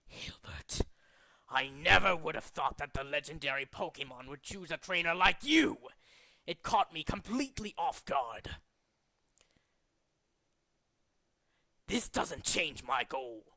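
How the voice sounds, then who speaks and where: A megalomaniac male cult leader delivering a theatrical video game monologue. The voice is high-pitched for a man, sharp and forceful, recorded close and dry. It is pompous and contemptuous, shifting between hushed scheming and menacing rants. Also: animated, a little slow, no echo, heavily acted. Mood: cold scorn.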